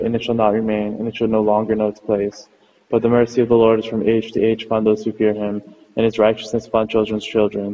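A man reading psalms aloud in a steady, chant-like liturgical style. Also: fairly low pitch. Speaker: reader of psalms. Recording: muffled recording with long reverb.

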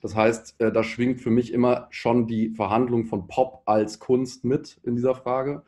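A man's voice: mid-range voice, little pitch movement, a little slow, darkish tone. A man in his thirties speaking reflectively as a panel guest, searching for words and often rephrasing mid-sentence. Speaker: panel guest, pop musician.

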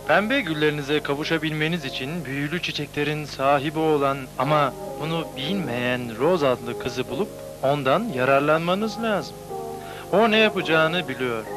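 A man's voice: on the high side, normal speed, music in the background, close to an everyday voice. A man voicing a solemn, wise moon spirit giving guidance in a dubbed cartoon. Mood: solemn, instructive.

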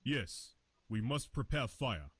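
A man's voice: mid-range voice, big pitch swings, slow pace, a bit bright, breathy edge, full character voice. An actor playing a grave, terse castaway man in a visual novel, speaking in clipped, broken English phrases.

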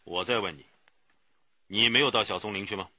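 An actor playing a stern police detective in a radio drama, measured and probing. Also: male voice, on the low side, bright, forceful tone, no room sound. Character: stern, regretful male police detective interrogating. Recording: dull, muffled recording.

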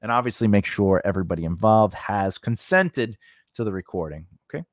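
A man's voice: lowish voice, animated, a little fast, dry recording, clean studio sound. A man teaching an informal, unscripted tutorial in a conversational way, often repeating phrases and checking in with the listener. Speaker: instructor and host of a writing lesson.

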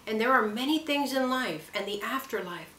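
A woman's voice: on the high side, animated, normal speed, pushed and bright. A woman voicing a loving old Tejano grandmother in a storybook reading, giving solemn, affectionate warnings. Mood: tender, solemn. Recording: echoey room with clear background noise.

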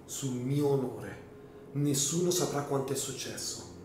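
A man playing an insistent, desperately hopeful gentleman in a melodramatic radio drama, emphatic and pleading. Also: mid-range voice, a little slow, background noise, lightly acted. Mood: insistent, solemn. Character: earnest, insistent, desperately hopeful gentleman, the dead countess's brother. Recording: very echoey room, music behind.

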